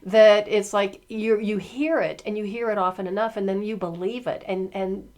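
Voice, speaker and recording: A woman's voice: mid-range voice, fairly even intonation, normal speed, darkish tone. A woman in her sixties hosting a podcast, chatting casually in an emphatic, encouraging way. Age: 60s. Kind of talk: casual interview chat.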